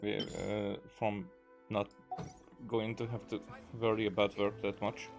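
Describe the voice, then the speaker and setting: A man with a fairly low voice talks through a video game casually. He is hesitant and halting, thinking out loud as he plays. Game music plays clearly behind him. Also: little pitch movement. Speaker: gaming video creator. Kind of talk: casual gameplay commentary.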